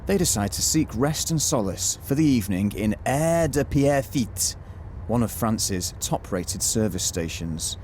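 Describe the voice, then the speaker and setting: An actor narrating a comic detective drama in a measured, deadpan scripted style. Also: male voice, fairly low pitch, slight background noise. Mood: deadpan narration.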